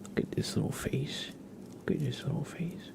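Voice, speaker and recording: A man hosting an ASMR livestream, chatting gently and casually in a soft, breathy voice with frequent pauses. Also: medium pitch. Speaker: livestream host.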